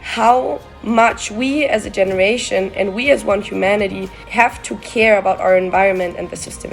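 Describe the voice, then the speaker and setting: A woman's voice: medium pitch, vocal fry. A young woman from Costa Rica giving heartfelt, flowing answers as an interview guest. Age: young adult. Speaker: guest climate activist.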